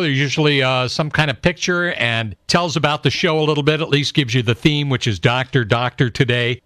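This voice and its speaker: A man's voice: fairly high pitch, fairly bright tone, no room sound. A middle-aged American man doing upbeat, conversational classic rock DJ patter on a studio microphone. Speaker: radio DJ and show host. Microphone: close studio broadcast microphone.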